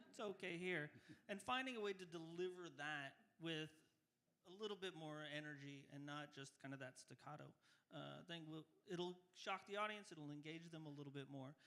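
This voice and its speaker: Bright, energetic male speech evaluator. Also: a little high, normal speed. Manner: energetic, acts out examples. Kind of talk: workshop feedback.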